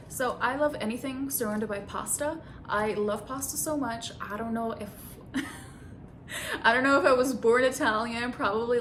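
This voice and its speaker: A woman's voice: mid-range voice, a bit bright, a little hiss or hum. A woman in her twenties, originally from Bulgaria, chatting casually through a Q and A vlog, laughing easily and adding self-conscious asides. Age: 20s. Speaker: vlogger.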